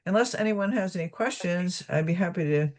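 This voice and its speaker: A woman's voice: on the low side, a little fast, a little mellow. A woman presents her organization's online exhibits over a video call in an informative, chatty way.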